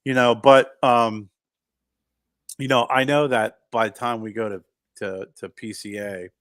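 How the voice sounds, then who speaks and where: A man's voice: medium pitch, fairly even intonation, a little slow, a bit bright, no room sound, studio quality. A man in his fifties co-hosting a podcast, talking in a reflective, rambling way with many restarts. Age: 50s. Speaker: podcast co-host. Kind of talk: casual chat.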